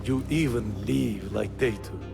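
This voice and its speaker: An actor playing a devout, fond old rebel merchant with a gravelly voice in a video game. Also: male voice, mid-range voice, a little slow, music in the background. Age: older. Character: devout, nagging old rebel merchant, warm-hearted and grieving. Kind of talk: video game cutscene acting.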